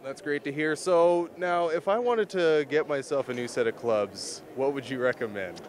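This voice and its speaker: A man's voice: fairly high pitch, moderate pace. A young man, interviewing people as a TV reporter at a trade show. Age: young adult. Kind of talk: on-location interviewing. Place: busy indoor trade show hall. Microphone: handheld reporter mic.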